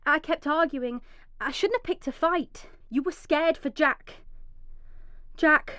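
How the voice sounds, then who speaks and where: An actress playing a frightened, injured young mother in a radio drama, emotional and swinging from hushed dread to pleading. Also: sits high, takes it slowly, dry recording. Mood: bitter, anguished. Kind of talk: radio drama monologue with acted dialogue.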